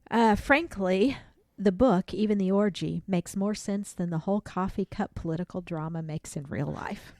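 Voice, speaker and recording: A woman's voice: medium pitch, moderate pace, hardly any pauses, a little mellow, no echo. A woman in casual podcast conversation, with a mid-range voice. She comes across as chatty and earnest, and the sound is close and dry with little room. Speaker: comedy podcast host. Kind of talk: casual chat.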